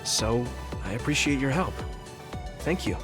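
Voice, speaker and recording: Casting: actor as idealistic young man soldier, video game, mid-pitched, sincere and conversational, clear music behind. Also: expressive, unhurried, natural voice. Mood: grateful, warm. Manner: sincere, conversational, slightly hesitant. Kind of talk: English video game voice acting.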